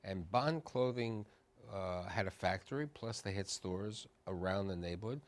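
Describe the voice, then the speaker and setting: A man's voice: deep voice, a little slow. A man hosting a conversational television interview, speaking emphatically and prompting his guest.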